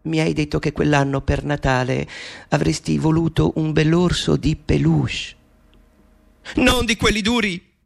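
A young eccentric mathematician in a radio drama, with a high-pitched male voice. He speaks intimately and playfully, with a nervous, eccentric edge, as if confiding in his mother. It is a studio recording. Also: creaky, fairly bright tone. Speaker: actor playing Alan Turing. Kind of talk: theatrical radio monologue.

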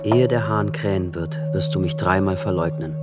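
An actor playing a calm, authoritative prophet in a radio drama, solemn and measured. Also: male voice, low voice, loud music behind the voice, close to an everyday voice. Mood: grave.

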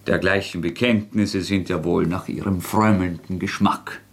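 Radio play: young intellectual killer, fairly low voice, proud, mocking, agitated, brittle defiance. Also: male voice, fairly even intonation, measured pace. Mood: defiant. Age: young adult. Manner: sarcastic, theatrical, agitated. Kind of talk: German radio drama acting.

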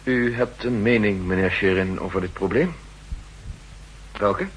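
A man's voice: on the low side, measured pace, lightly acted. An actor playing a nervous, suspicious official in a 1960s radio play, formal and courteous in his speech. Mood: probing. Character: nervous, suspicious male official plotting in secret. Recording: noisy old 1960s radio recording.

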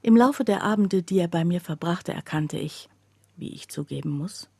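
An actress playing a troubled woman who recounts her own breakdown in a radio play, with a husky, breathy voice. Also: lowish voice, moderate pace, warm tone, dry recording. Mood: confessional. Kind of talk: scripted first-person radio play narration.

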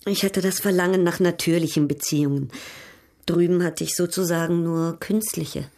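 A woman plays a proud European baroness in a radio drama. Her voice sits on the low side, and she speaks with poise, teasing and coolly ironic, restless under the polish. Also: fairly even intonation, normal speed, a little mellow, no echo, clean studio sound, lightly acted. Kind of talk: German radio drama acting.

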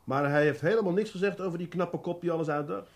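An actor playing a shrewd, patient, fatherly police detective in a Dutch radio drama, pressing with deliberate, drawn-out emphasis. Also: high voice. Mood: probing. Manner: deliberate, coaxing, drawn-out emphasis, mildly ironic.

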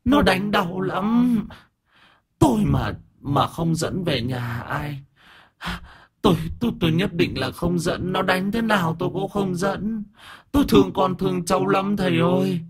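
A man's voice: high voice, lively intonation, slightly rough, pitched above the performer's own voice, heavily acted. A man voicing the frightened ghost of an old woman, pleading in a quavering, stammering voice. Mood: fearful pleading. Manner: quavering, slow, stammering pleas.

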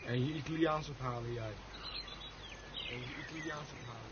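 A nervous family man played by a man with a mid-pitched voice and dry remarks. He is in a comic radio play, on a dull recording with loud noise. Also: a little fast, natural voice.

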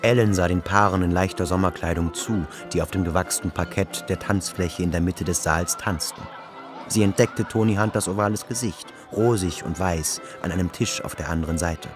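Sehr tiefer männlicher Erzähler über Musik. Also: male voice, sits low, a little fast. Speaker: narrator. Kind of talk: literary radio play narration. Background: music.

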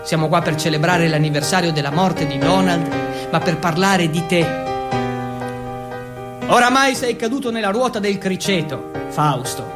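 A man's voice: sits high, normal speed, over loud music, voice pushed down, close to an everyday voice. A man playing a fervent, solemn preacher who exhorts insistently in repeated refrains. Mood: solemn, exhorting. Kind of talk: theatrical sermon monologue.

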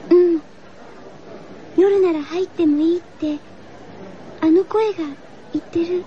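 Woman as very high-pitched mysterious schoolgirl. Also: fairly even intonation, takes it slowly. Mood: pleading, stubborn. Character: frightened, mysterious schoolgirl class president, pleading and stubborn. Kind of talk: radio horror drama acting. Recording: dull noisy radio recording.

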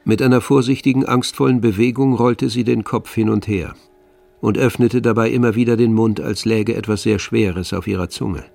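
A man narrating a literary radio drama in a measured, grave manner. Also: on the low side, fairly even intonation, vocal fry, a little mellow.

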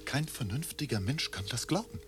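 An actor playing a passionate, impatient young Englishman in a radio drama, agitated and emphatic, moving between hushed lines and outbursts. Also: medium pitch, expressive, moderate pace, over music, lightly acted. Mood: exasperated, pleading. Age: young adult. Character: young, hot-headed, impatient, passionate Englishman, scornful and pleading.

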